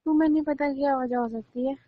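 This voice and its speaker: A woman's voice: high voice, steady tone, fast talker, natural voice. A young woman playing an upset, accusing girlfriend in a casual phone-call romance drama. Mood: sulky. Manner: accusing, insistent, sulky. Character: upset young girlfriend blaming her boyfriend. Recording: dull, lo-fi phone call audio.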